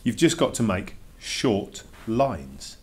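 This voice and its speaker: A man's voice: lowish voice, very animated, slow pace, darkish tone, slight background noise. A man voicing a reluctant schoolboy who puts emphatic, grudging questions to his teacher in a children's storybook read-aloud. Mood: frustrated, protesting. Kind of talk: dramatic read-aloud of a children's verse novel.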